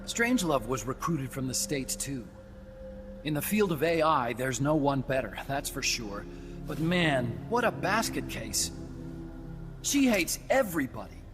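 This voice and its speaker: An actor playing a nervous, guilt-ridden young scientist in a game cutscene, explaining quickly and at times slipping into subdued hesitation. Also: male voice, medium pitch, close to an everyday voice. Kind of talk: video game cutscene acting. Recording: music playing underneath.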